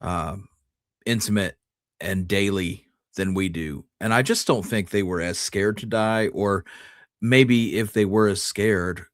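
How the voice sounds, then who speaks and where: A man's voice: lowish voice, a little slow, dry recording, studio quality. A man co-hosting a history podcast, chatting casually and offering his own opinions.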